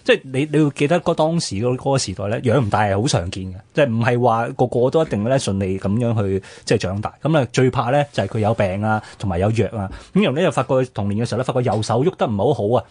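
A man's voice: mid-range voice, expressive, very fast, hardly any pauses, darkish tone, breathy edge. A man with a Hong Kong Cantonese accent explaining history in colloquial talk as a radio co-host.

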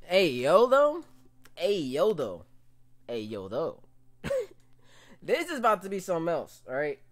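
Casting: young American male reaction host, jokey and slangy, widely swinging pitch, close dry mic. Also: a little slow, no echo. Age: young adult. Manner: jokey, animated, slangy, often laughing. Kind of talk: casual reaction commentary. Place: small room, indoors. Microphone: desk condenser mic with pop filter.

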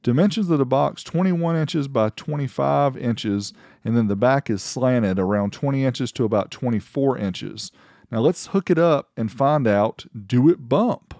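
Brief: middle-aged male reviewer, casual and chatty, dry close sound, mid pitch. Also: a little slow, darkish tone, no room sound. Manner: chatty, explains specs step by step. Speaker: car audio reviewer. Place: outdoors on a driveway, later inside a vehicle.

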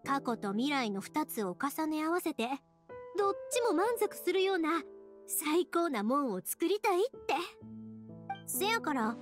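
A cheerful young woman with a high voice and a Kansai Japanese accent. She is chatty, expressive and earnest, an anime game heroine with music playing behind her.

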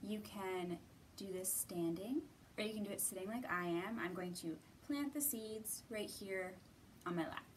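A woman's voice: medium pitch, measured pace, distant mic, a little hiss or hum. A woman in her thirties hosting storytime for children, giving simple instructions addressed to young listeners. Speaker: children's library storytime host. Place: indoor library room.

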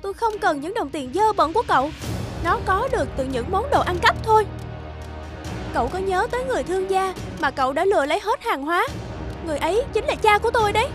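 A proud young cartoon maiden, cold and indignant. Her voice is high and curt, with music clearly behind it. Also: expressive, quick pace. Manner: curt, reproachful. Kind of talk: cartoon voice acting.

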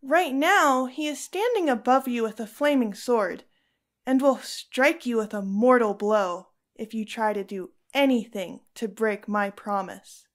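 High-voiced, devout young Roman woman played by an actress. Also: unhurried, close to an everyday voice. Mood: solemn, firm. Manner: earnest, firm. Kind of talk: audio drama acting.

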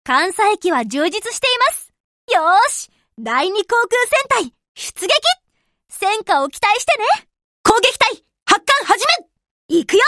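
A woman's voice: extremely high pitch, fairly bright tone, no room sound, clean studio sound, heavily acted. A woman voicing a cheerful, earnest young warship girl with a girlish, perky, sweetly familiar manner in short Japanese game lines. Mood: rousing, determined.

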